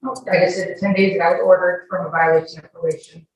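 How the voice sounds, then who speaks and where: A woman's voice: lowish voice, little pitch movement, fast. A woman answering questions as a witness in court, emphatic and repeating her denials. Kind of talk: answering questions under examination. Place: indoor courtroom. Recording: echoey, distant mic, faint noise.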